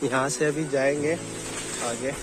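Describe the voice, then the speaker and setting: A man's voice: medium pitch, fairly even intonation, measured pace. A young man, narrating a casual walking vlog outdoors on a rocky seashore, filling his talk with "matlab". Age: young adult. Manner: chatty, leans on filler "matlab". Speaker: vlogger. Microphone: phone camera held at arm's length. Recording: loud music bed under voice.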